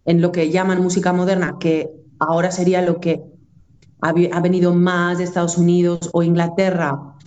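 A woman's voice: fairly low pitch, flat delivery, normal speed, darkish tone, muffled recording. A woman from Aragón with a northern Spanish accent, talking in a casual, reflective and discursive way as a musician being interviewed. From Aragón, Spain.

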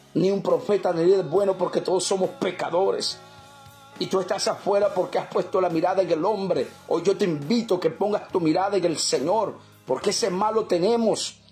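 A man from El Salvador delivers a sermon, his voice pitched high. He preaches fervently, repeating his points and turning to his listeners as brothers. Soft music plays faintly behind him. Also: normal speed.

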